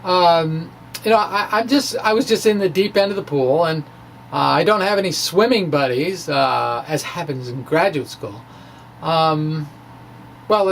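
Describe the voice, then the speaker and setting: A man giving an informal spoken science explanation in a halting, rambling, colloquial manner with wry asides. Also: on the high side. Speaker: amateur physics blogger presenting his work. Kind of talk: informal spoken physics explanation, dramatic reading of his blog. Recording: clear background noise.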